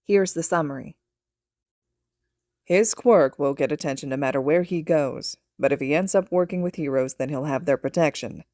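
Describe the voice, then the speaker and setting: A woman's voice: low voice, normal speed, dark tone, no echo, clean studio sound. A woman reading scripted fiction aloud as a podfic narrator. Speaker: podfic reader and host.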